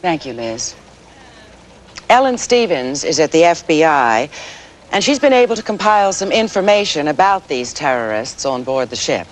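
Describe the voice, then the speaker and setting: Composed 80s news anchor woman, lively inflection, faint noise. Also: deep voice, normal speed. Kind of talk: newscast hand-offs in a TV drama. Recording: faintly noisy 1980s TV broadcast.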